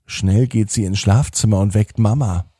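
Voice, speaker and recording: A man telling a bedtime story for children as a podcast host, speaking deliberately with repeated phrases and drawn-out emphasis. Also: on the low side, warm tone, breathy edge, dry recording, studio quality.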